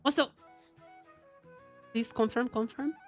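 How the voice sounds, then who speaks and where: Excitable high-voiced woman streaming a game. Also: slow pace, music in the background. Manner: excitable, exclamatory, thinking aloud. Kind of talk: live gameplay commentary, casual chat.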